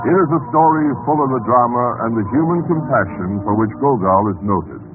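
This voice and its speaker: A man's voice: medium pitch, brisk. A man hosting an old-time radio program, giving a formal, declamatory scripted introduction. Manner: formal, declamatory announcer delivery. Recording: tinny old radio recording, music behind.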